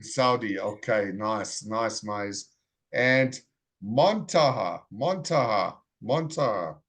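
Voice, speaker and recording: A man teaching a live online English class over a video call, greeting students and repeating their names slowly and clearly. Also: on the low side, animated, fairly bright tone.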